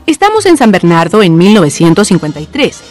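Lively Chilean woman narrating radio history. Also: medium pitch, brisk, soft background music. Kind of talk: scripted radio documentary-drama narration.

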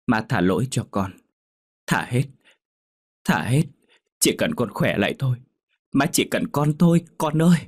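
A man voicing a grieving older mother from a village, who wails and shouts shrilly. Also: very animated, takes it slowly, pauses often, husky, full character voice. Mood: desperate grief. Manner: wailing, loud and shrill.

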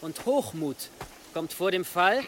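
A man playing a defiant, pious manservant in a radio drama, measured, preachy and sardonic, with faint noise behind. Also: high voice, big pitch swings, fairly bright tone, full character voice. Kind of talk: German radio drama acting.

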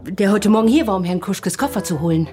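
A woman's voice: mid-range voice, animated, quick pace, warm tone, faint music behind, close to an everyday voice. An actress playing a naive, accommodating landlady in a radio drama, talkative and a little hesitant. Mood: flustered, helpful. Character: chatty, naive, accommodating landlady, easily flattered.

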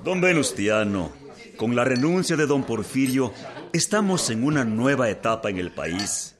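A man's voice: medium pitch, big pitch swings, unhurried. An actor playing a jovial, dismissive Mexican revolutionary leader who coaxes his companion in a radio drama. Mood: breezy, coaxing. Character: breezy, dismissive revolutionary leader in festive mood. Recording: busy crowd with clinking glasses behind.

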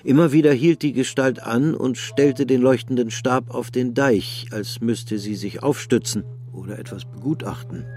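A man narrating a radio drama in a measured, sober way. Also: medium pitch, dark tone, slight background noise.

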